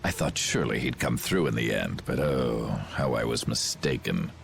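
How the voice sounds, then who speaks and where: A deep, pompous villain commander. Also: male voice, lively intonation, moderate pace, creaky, a bit bright, a little hiss or hum, heavily acted. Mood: smug, mocking. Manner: pompous, formal, theatrical. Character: grandiose male villain commander, cold would-be ruler. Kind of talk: video game cutscene acting.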